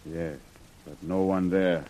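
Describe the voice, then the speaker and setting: An actor in a 1930s radio serial playing a loyal native servant who speaks halting broken English. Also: male voice, deep voice, steady tone, warm tone. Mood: dutiful reporting. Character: loyal native servant and tracker, a grown man. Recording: old radio recording.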